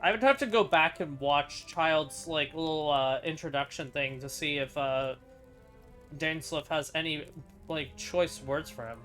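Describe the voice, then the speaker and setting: A man giving casual, unscripted gameplay commentary as a streamer, blunt and sweary, thinking out loud. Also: fairly high pitch, measured pace, soft background music. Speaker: game streamer.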